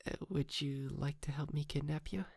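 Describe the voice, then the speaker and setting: A man playing a shy, polite, lovestruck yandere kidnapper in an intimate ASMR roleplay, stammering, hesitant and softly doting. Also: fairly high pitch, fairly even intonation, normal speed, creaky, breathy edge, no room sound, clean studio sound.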